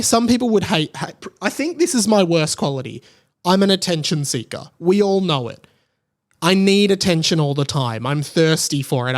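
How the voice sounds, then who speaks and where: A man in his late twenties talking casually and animatedly on a solo podcast, rambling and self-deprecating. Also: high-pitched. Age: late 20s. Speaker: podcast host.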